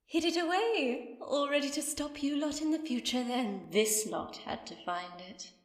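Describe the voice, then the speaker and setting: A woman's voice: high-pitched, expressive, moderate pace. An actress playing a gloating female mastermind with a British accent, taunting and mock-polite in an audio drama. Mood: smug. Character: gloating female mastermind villain, mocking and unhurried. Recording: echoey hall with long reverb.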